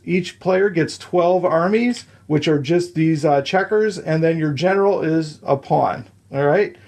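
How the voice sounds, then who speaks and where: A man's voice: a little high, a little mellow. Man patiently walking through rules. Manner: patient, counting moves aloud, checking in with "okay?". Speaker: explaining game rules at the table. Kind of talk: step-by-step rules walkthrough.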